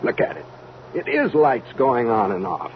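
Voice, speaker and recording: Wisecracking army major in an old radio play, plain talking, swingy pitch, muffled and noisy. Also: male voice, huge pitch swings, moderate pace. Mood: puzzled, tense. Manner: informal, plain-spoken. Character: blunt, wisecracking male army major piloting a rocket. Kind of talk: old-time radio drama acting.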